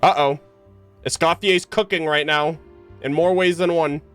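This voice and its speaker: A man's voice: a little high, fairly even intonation, measured pace, a bit bright, clean tone, faint music behind. A man giving casual, slangy live reactions while playing a game, muttering asides on a close mic. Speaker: game streamer.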